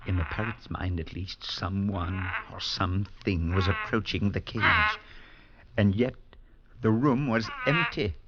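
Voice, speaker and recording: An actor playing a priest who narrates the story in a measured way in a 1940s radio drama. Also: male voice, deep voice, a crowd in the background. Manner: measured narration with rising alarm in dialogue. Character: male priest narrator, earnest, steady, unsettled. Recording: dull lo-fi vintage radio with music.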